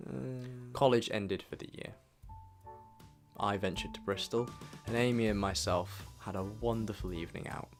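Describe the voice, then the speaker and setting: Low-voiced young British man narrating. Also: normal speed, soft background music. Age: young adult. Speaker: video creator narrating own year review. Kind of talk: scripted reflective voice-over narration.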